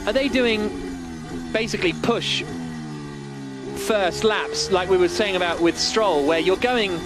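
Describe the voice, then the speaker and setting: A man's voice: high-pitched, a little slow, over music. A man giving live motor racing commentary as the cars run.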